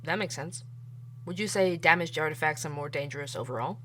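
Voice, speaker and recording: Woman doing a stubborn guy investigator, real low voice, blunt, faint hiss behind. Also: brisk, sharp tone. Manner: blunt, earnest questioning. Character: male podcast investigator, dogged and opinionated. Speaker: podcast host. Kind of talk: audio drama acting.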